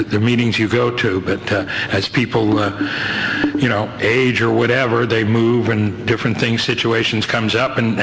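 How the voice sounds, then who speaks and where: An older man with a New York accent giving a rambling, halting, wryly humorous personal testimony to a meeting, on a noisy old amateur tape. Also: on the low side. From Brooklyn, New York, United States. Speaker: guest speaker at a recovery meeting. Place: meeting hall, speaking to a group.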